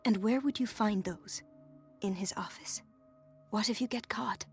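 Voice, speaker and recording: An actress playing a dutiful, discreet hospital nurse in a video game, deferential and earnest. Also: female voice, medium pitch, a little slow, soft background music, close to an everyday voice. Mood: hushed, anxious. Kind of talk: video game cutscene acting.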